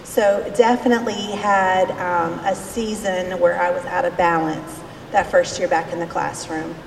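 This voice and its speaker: Low, creaky woman in an echoey hall. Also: steady tone, unhurried. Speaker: teacher speaking at a church seminar. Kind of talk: personal testimony to a group. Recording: echoey hall, distant, background noise.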